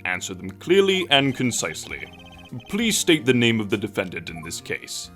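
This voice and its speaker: Male actor as stern older judge, game fan dub; lowish pitch, solemn and formal. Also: sing-song, a bit bright, faint music behind. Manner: measured, formal, authoritative.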